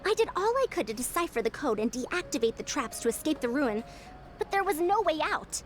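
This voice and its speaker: A woman's voice: high-pitched, big pitch swings, fairly quick, a little hiss or hum. A woman playing a youthful, methodical researcher recounting an ordeal in a video game flashback. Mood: grave, haunted. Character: youthful female researcher recounting long entrapment, weary, methodical.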